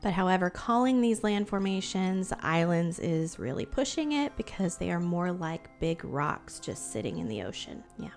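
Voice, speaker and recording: A young woman hosting a casual mystery podcast, a bit hoarse from losing her voice, chatty and quick to giggle. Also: on the low side, very animated, faint music behind. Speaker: podcast host. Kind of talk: casual storytelling chat.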